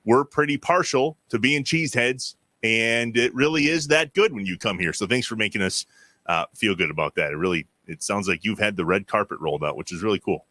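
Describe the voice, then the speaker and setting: A man's voice: lowish voice, fairly quick, sharp tone, no room sound. An American man hosting an interview in a casual, conversational way. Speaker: show host.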